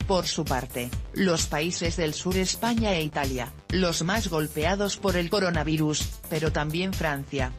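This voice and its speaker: A woman reading a news report in an even, measured voice-over. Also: deep voice. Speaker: news narrator. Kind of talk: read news narration. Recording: voice-over with loud music bed. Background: music.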